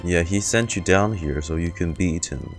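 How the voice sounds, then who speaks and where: A man's voice: sits low, normal speed. A man streaming a game, reading dialogue aloud and reacting to it as he goes. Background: game music.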